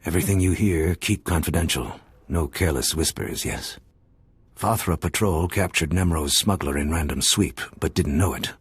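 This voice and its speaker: A game character, a gruff alien fixer with an extremely low, very husky voice. He speaks in clipped, broken telegraphese, secretive and all business, recorded dry and close. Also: normal speed, no echo, full character voice. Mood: terse, secretive. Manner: clipped, telegraphic broken phrasing with tag questions.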